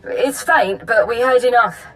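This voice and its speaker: A woman's voice: fairly high pitch, a little slow, lightly acted, poor audio. A woman playing an anxious military officer in a video game, giving an urgent, tense report. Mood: urgent, alarmed. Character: anxious female Imperial officer reporting an emergency. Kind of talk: video game cutscene acting. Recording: music behind the voice.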